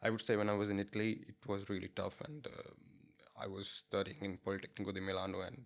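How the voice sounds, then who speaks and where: Guest interviewee: young Indian man, Indian accent, low-ish voice, halting and friendly. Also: level tone, creaky. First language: not English. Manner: hesitant, many fillers and restarts, laughs easily. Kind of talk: casual interview chat.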